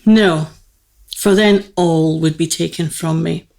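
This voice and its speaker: Actress as a grand lovesick queen, slow and stately, creaky voice, comic radio drama. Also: low-pitched, steady tone, a little mellow, heavily acted, rough recording. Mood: wistful. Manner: stately, theatrical, formal diction. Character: aristocratic, lovelorn married queen, grand yet girlish. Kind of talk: comic historical radio play acting.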